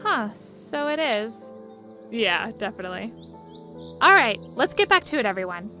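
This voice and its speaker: A brisk, easygoing female caravan boss, played by an actress in a wasteland audio drama. Her voice is very high and bright, with a folksy, businesslike way of talking and soft music behind. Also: sing-song, full character voice. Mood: alert. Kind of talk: fan audio drama acting.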